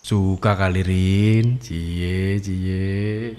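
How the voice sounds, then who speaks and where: A man's voice: low voice, steady tone, very slow, darkish tone. A man voicing a teasing young male hiker, full of mocking slang. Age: young adult. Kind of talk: animated horror story dub.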